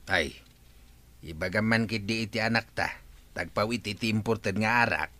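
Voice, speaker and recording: A man's voice: lowish voice, normal speed, sharp tone. A man with an Ilocano accent voicing a joking, drink-loving middle-aged husband in a radio drama. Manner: joking, unbothered.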